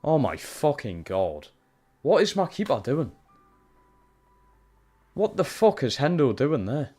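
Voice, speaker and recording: A man's voice: fairly high pitch, huge pitch swings, slow, warm tone, faint music behind. A young man with a Geordie accent commentating live on his football game, swearing and reacting to every pass. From Newcastle, England. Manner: sweary, frustrated reactions to play. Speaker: streamer playing a football career mode.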